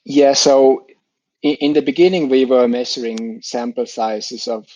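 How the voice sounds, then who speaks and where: A middle-aged man from Denmark, explaining his scientific work as an interview guest in halting phrases with repeated words. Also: mid-range voice, fairly even intonation, a little mellow, low-quality recording. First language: Danish.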